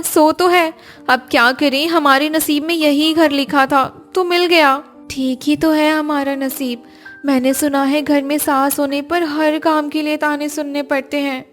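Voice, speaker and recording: A woman voicing a young, practical village daughter-in-law in a Hindi cartoon, with expressive, homely conversational delivery. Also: sits high. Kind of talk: Hindi cartoon story voice acting.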